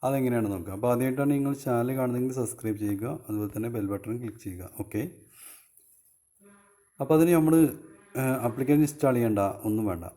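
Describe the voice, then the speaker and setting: A man's voice: medium pitch, quick pace, long pauses, dark tone. A man with a Malayali accent giving a casual, step-by-step phone tutorial as a video host, explaining informally and repeating his points.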